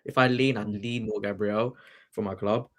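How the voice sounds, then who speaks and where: A man's voice: on the low side, steady tone, moderate pace. A man speaking casually as a panelist on a football podcast. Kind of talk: casual chat.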